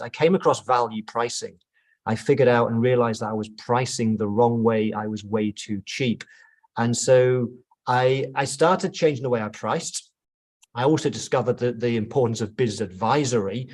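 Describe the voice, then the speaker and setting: A man's voice: mid-range voice, a little mellow. A man in his fifties from the United Kingdom with a British accent, giving an upbeat training presentation to accountants and often repeating words as he restarts his sentences.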